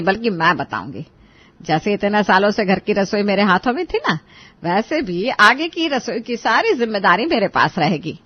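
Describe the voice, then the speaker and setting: A woman's voice: on the high side, no room sound, highs cut off. A woman playing a bossy, stern older Indian mother-in-law in a cartoon family drama, scolding and giving emphatic orders. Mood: firm, complaining.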